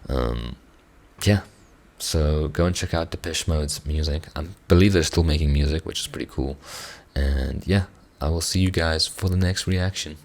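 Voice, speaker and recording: A man, an Afrikaans speaker, hoarse from singing, talking through a song reaction in a reflective, hesitant way with many restarts. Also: a bass voice, moderate pace. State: hoarse, strained voice. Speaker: musician reacting to songs. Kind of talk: casual reaction commentary.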